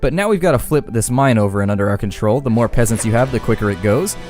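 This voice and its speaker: A man's voice: mid-range voice, expressive, fast talker, darkish tone. A man giving casual, chatty let's-play commentary on a game, with folksy slangy phrasing. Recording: game music behind the voice. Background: game music.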